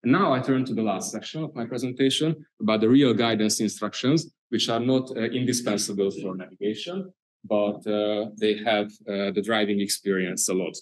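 A man with a Hungarian accent giving a conference presentation, halting often with filler sounds. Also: fairly low pitch, steady tone, poor audio. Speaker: conference speaker. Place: conference hall.

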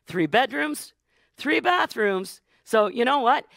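Hoarse, raspy high-voiced gala auctioneer. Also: male voice, animated, fairly bright tone, dry recording, studio quality. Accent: Canadian. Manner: rapid hype, calling out donors. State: hoarse, losing voice. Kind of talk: high-energy live fundraising emcee. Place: studio stage with blue curtains.

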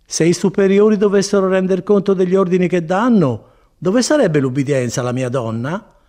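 A man's voice: high-pitched, brisk, dark tone, voice pushed up, lightly acted. A man voicing a folksy, placid friar who rambles on consolingly in an audiobook story. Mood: matter-of-fact. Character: chatty, placid old-fashioned friar, folksy and evasive. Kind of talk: dramatized audiobook reading.

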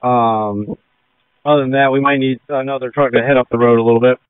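A man, an emergency responder at a scene, giving halting, businesslike updates over two-way radio. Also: medium pitch, fairly even intonation, smooth, no echo. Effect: radio transmission. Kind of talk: operational radio traffic. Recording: dry, dull two-way radio audio.

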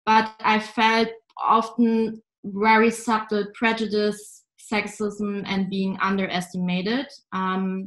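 A woman in her twenties from Germany, answering interview questions in a measured way with frequent ums, on a laptop webcam at home. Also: medium pitch, reverberant, poor audio. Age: 20s. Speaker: interview guest, investor. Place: home room by a window.

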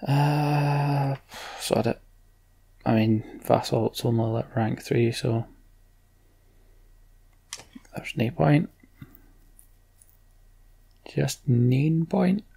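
A man hosting a let's play, muttering casual commentary as he thinks aloud, with mild swearing and a gravelly voice. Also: medium pitch, extremely slow, long pauses, dark tone. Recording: game music behind voice. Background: game music.

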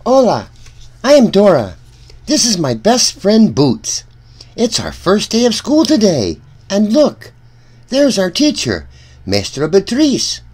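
A man reading a storybook aloud for children in an animated, deliberate way, speaking directly to young listeners. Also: sits high, husky.

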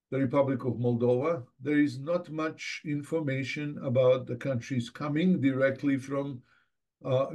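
A middle-aged man speaks as the moderator of an online seminar. His voice sits at a medium pitch as he reads out a formal, prepared introduction. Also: darkish tone. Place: indoors, office, on a video call.

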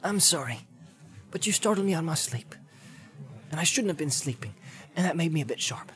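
A man voicing an earnest, loyal young hobbit servant in an audiobook, talking plainly and often hushed, with music underneath. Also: on the high side, animated, fairly quick, voice pushed up. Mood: apologetic, gruff.

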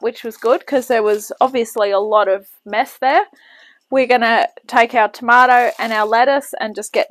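A woman chatting casually as she cooks in her home kitchen and hosts her own cooking channel. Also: mid-range voice. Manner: chatty, talks while working in the kitchen.

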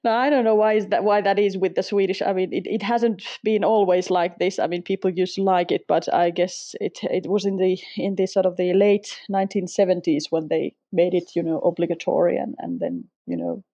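A woman's voice: fairly low pitch, steady tone, fairly quick, few pauses, warm tone, lo-fi sound. A middle-aged Finnish woman with a Finnish accent, chatting relaxedly as an interview guest and laughing often. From Finland. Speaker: guest, sketchnoter and former language teacher. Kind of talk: casual interview conversation.

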